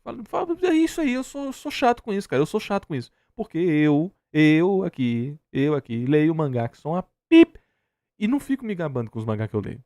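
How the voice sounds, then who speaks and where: Animated Brazilian man, higher-pitched, argumentative. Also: fairly quick, no echo. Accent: Brazilian Portuguese. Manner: exasperated, argumentative, emphatic repetition. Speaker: streamer reacting to a video. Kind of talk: casual opinionated reaction commentary.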